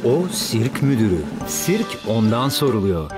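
A man playing a gentle, sensible young father in a cartoon. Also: mid-range voice, sing-song, measured pace. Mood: gently instructive. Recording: music under the voice.

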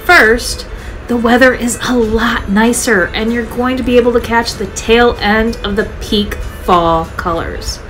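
A woman's voice: a little high, fairly even intonation, a little slow, fairly bright tone, soft background music, poor audio. Upbeat woman narrating a travel vlog. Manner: upbeat, chatty, enthusiastic. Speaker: travel vlogger. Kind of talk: scripted voice-over narration.

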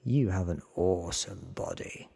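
A man voicing a candid, careful, sickly young man in a hushed, earnest ASMR storybook reading. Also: sits low, unhurried, heavily acted. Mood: hesitant, curious. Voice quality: breathy.

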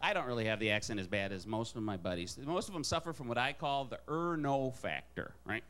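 A man from Wisconsin doing stand-up in a comedy club, with a nasal Wisconsin accent and an animated delivery full of put-on voices. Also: mid-range voice, brisk. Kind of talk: stand-up set. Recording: live club with crowd and noise. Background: audience laughter.

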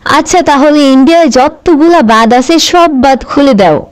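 A woman's voice: high voice, normal speed, natural voice. A woman voicing a bossy, vindictive grandmother politician in a comic cartoon, nagging and sulking as she makes demands. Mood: petulant, demanding.

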